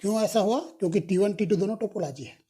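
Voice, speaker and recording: Mellow husky woman dictating a proof. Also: medium pitch. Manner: slow, repetitive dictation of proof steps; self-correcting, apologetic on slips. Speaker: mathematics lecturer. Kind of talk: recorded university lecture, mixing Hindi and English.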